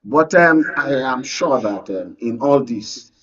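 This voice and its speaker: A man's voice: medium pitch, expressive, slow pace, a bit bright, distant mic, highs cut off. A Nigerian man in a political panel discussion, speaking insistently and repeating phrases to hold the floor. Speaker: panel guest, media analyst. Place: radio and TV studio.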